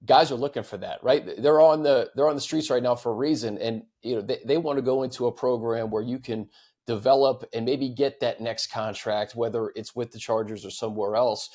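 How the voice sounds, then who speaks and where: American dude hosting a sports show, medium pitch, easygoing and chatty, fan q&a style. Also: male voice, fairly even intonation, a little fast, darkish tone. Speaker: sports talk show host. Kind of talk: casual sports talk, reading fan questions.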